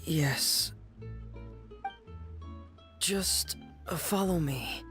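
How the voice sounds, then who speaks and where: A woman's voice: low-pitched, lively intonation, extremely slow, fairly bright tone, full character voice. A woman voicing a shy, earnest young teenage boy with a hesitant manner, in a video game. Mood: hesitant, evasive. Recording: game audio with faint music behind.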